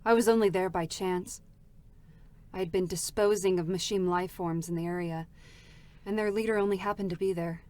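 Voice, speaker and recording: A woman's voice: on the low side, normal speed, close to an everyday voice. A2, a woman voicing a cold deserter android. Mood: somber. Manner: cold, flat, bitter. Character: weary, cold female android deserter, battle-hardened. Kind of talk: live concert reading of game scenes. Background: faint music.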